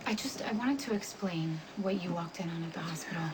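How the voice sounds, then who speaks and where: A woman's voice: on the low side, fairly quick, very noisy, lightly acted. An actress playing a defensive, emotional young woman in a television soap opera. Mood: earnest, hurt.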